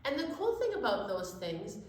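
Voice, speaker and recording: Very roomy sound with faint noise, woman, higher lively voice, encouraging educational chat for kids. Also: normal speed. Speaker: children's storytime co-host. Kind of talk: simple educational chat for young children. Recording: very echoey room with faint noise.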